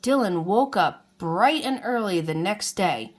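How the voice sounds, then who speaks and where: A woman reading a children's storybook aloud in an unhurried way, as if to young listeners. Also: mid-range voice, animated. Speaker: children's book reader. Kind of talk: read-aloud storybook narration.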